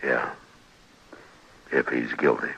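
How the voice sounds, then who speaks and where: A slow, super deep old west marshal, grave and terse, old lo-fi radio sound. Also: male voice, little pitch movement, gravelly, a bit bright, slightly rough, heavily acted. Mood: grave, soothing. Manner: terse, subdued. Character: grave, patient, weary frontier lawman. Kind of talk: old-time radio western acting. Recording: dull, lo-fi old radio, faint noise.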